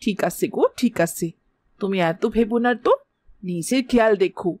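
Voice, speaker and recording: A woman's voice: mid-range voice, moderate pace, a little mellow, dry recording, studio quality, lightly acted. A woman playing a caring, homely village wife in a cartoon folk tale, solicitous in her way of talking. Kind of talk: cartoon dialogue. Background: background music.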